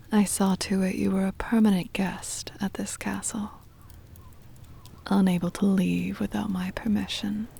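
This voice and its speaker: A woman playing a possessive, doting aristocratic vampire mistress in an ASMR roleplay, whispering breathily in a slow, formal, soothing manner close to the microphone. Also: mid-range voice, mellow, a little hiss or hum. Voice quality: breathy whisper with creaky fry.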